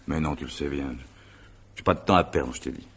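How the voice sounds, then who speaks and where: A man's voice: rumbling low, moderate pace, a bit bright, slightly rough, exaggerated voice. An actor playing an impatient, patronising, stubborn rationalist man, insistent and condescending, in 1950s French radio drama style. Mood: dismissive.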